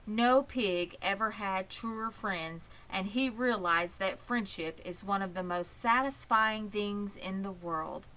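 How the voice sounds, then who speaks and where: A woman narrating a children's story in an expressive, measured way. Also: medium pitch, poor audio. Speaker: audiobook reader.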